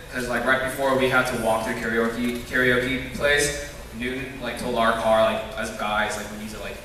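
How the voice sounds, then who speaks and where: A young man from a church youth group sharing memories of a mission trip in a halting way, full of filler words. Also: medium pitch, pushed and bright. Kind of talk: informal testimony to a church group. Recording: echoey hall, distant mic, some noise.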